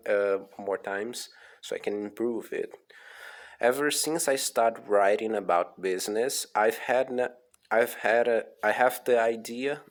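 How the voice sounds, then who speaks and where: A man's voice: medium pitch, a little mellow. A man with a Portuguese accent, an English learner reading aloud and commenting casually, hesitant and repeating words to practice them.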